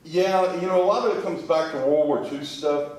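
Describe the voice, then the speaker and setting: A man's voice: fairly high pitch, normal speed, darkish tone. A man from Kentucky, speaking conversationally as an interview guest. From Kentucky, United States. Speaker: interview guest, museum sales manager. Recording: very echoey room, distant mic.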